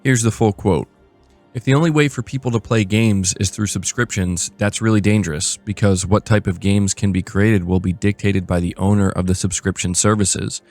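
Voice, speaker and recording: A man's voice: lowish voice, fairly even intonation, normal speed, a little mellow, soft background music. A man hosting a gaming news show, reading reports aloud and adding casual commentary.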